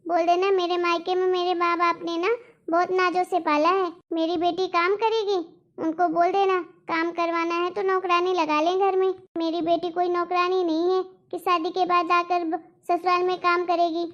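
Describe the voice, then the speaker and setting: A woman's voice: extremely high pitch, flat delivery, moderate pace, dull audio. A woman voicing a domineering, scornful mother-in-law who scolds emphatically in a cartoon family melodrama. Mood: scolding.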